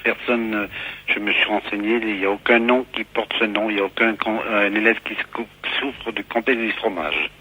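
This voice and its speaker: A middle-aged man from southern France, a school caretaker, talking earnestly and haltingly on a phone call, often repeating himself. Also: on the low side, steady tone, bright, forceful tone, breathy edge. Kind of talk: phone conversation. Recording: voice over a telephone line.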